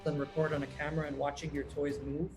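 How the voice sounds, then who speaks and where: A man's voice: on the high side, fast talker, soft background music. Laid-back man, filmmaker guest. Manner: relaxed, rambling, many ums and uhs. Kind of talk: casual interview answers.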